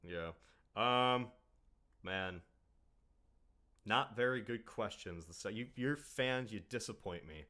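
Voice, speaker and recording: A man with a fairly low voice hosts a loose, casual podcast conversation. He drawls through his sentences and often lets them trail off into quiet mumbles. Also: slow pace, pauses often. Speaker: podcast host.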